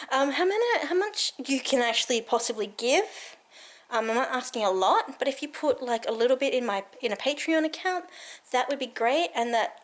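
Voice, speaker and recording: A woman in her thirties chatting to a handheld camera at home in a rambling, giggly way. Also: a little high. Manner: rambling and giggly, many fillers. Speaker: vlogger. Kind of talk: casual chat to camera. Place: cold room at home. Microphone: handheld camera carried around the room.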